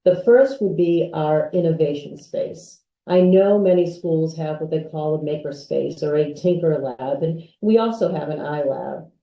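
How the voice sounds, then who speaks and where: A woman's voice: low voice, a little slow, hardly any pauses, mellow. A woman who is a school's associate head and dean, giving a polished, upbeat presentation. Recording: echoey room from far off.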